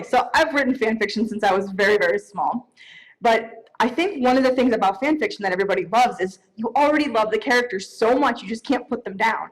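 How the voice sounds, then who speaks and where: A woman's voice: fairly high pitch, rough recording. A woman co-presenting awards live on stage in a playful, chatty, conversational way.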